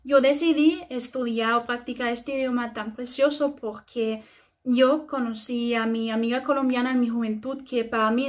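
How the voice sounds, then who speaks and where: A 21-year-old woman speaking Spanish enthusiastically. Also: a little high. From Germany. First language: German. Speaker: interviewee.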